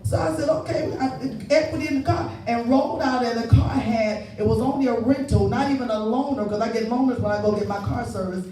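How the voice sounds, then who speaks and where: A woman preacher delivers a testimonial sermon in a conversational storytelling manner, often appealing directly to her listeners. She is heard at a distance in a very echoey church space, with music clearly behind her. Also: on the high side, fairly quick, hardly any pauses, mellow. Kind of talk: testimonial sermon with call and response. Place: large indoor church space.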